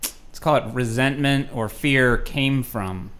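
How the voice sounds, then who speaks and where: A man's voice: mid-range voice, slow pace, fairly bright tone. A man interviewing a guest, asking short questions and adding brief prompts of agreement. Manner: brief prompts, frequent "mm-hmm".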